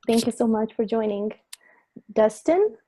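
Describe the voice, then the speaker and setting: Mellow-voiced woman hosting a video call. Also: a little high, sing-song, a little slow, poor audio. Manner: polite, apologetic about names. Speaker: webinar host.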